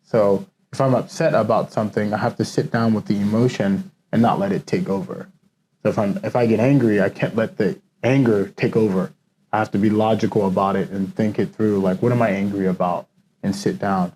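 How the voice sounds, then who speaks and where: A man with a low, mellow voice talks as an interview guest, thinking aloud in a loose, reflective way and leaning on "you know" as he goes. A faint hum of room noise sits under him. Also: steady tone, a little fast, rough recording. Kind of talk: casual conversational interview.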